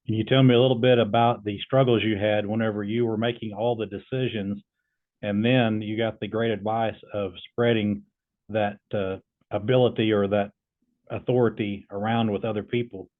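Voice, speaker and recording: A man talks casually as a host, in a lowish voice with little melodic movement. His delivery is low-key and halting, and the sound is narrow and tinny, like a phone line. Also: level tone. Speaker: video host testing an AI voice. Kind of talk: casual explanatory chat and questioning.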